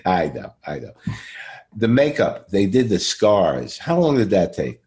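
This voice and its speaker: A man hosting a casual conversational interview, speaking in a halting, rambling way and often repeating himself. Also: deep voice, big pitch swings, a little mellow. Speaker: podcast interviewer.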